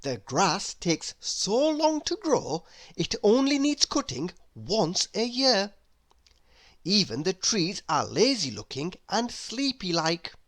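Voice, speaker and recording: A woman reading a children's story aloud as an expressive, unhurried storyteller. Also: mid-range voice. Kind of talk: children's read-aloud narration.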